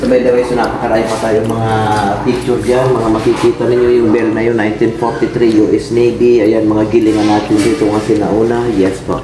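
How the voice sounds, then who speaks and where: A man's voice: lowish voice, flat delivery, brisk, few pauses. Polite young man giving a tour. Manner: polite, explanatory, mixing English into his talk. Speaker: restaurant staff guide. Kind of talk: guided tour explanation. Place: old house restaurant, indoors. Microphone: vlogger's phone nearby. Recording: echoey noisy room.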